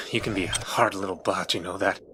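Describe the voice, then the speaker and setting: A man's voice: low voice, normal speed, background noise, lightly acted. A man playing a brash, cocky robot who argues in a sarcastic, needling way in an audio drama. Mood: sarcastic, challenging. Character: brash, sardonic male robot rival, cocky and argumentative.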